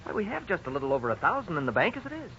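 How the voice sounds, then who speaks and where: An actor playing a worried young husband, frank and resigned, in a 1950s radio drama. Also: male voice, high voice, wildly swooping pitch, very fast, sharp tone, full character voice. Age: young adult. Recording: dull, hissy 1950s radio recording.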